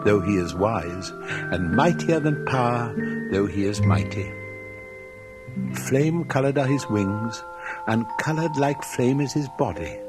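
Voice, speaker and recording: A man's voice: mid-range voice, expressive, measured pace, music in the background, natural voice. A man voicing a tender, devoted female nightingale in a storybook, with an ardent, lyrical, theatrical delivery. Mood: ardent, exultant.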